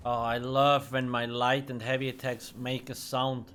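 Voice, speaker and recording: A man's voice: mid-range voice, level tone, unhurried, fairly bright tone, a little hiss or hum. A man whose first language is Swiss German, streaming a game with loose, profane commentary and muttered reactions between chat. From Switzerland. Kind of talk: casual gameplay commentary.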